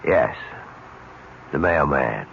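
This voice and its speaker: An actor playing a nervous, unsettling man who speaks haltingly in a radio mystery drama. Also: rumbling low, lively intonation, vocal fry, fairly bright tone, full character voice. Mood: nervous, hesitant. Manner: halting, stammering, repeating himself. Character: meek nervous man turned giddy, menacing killer. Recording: dull, noisy old radio broadcast.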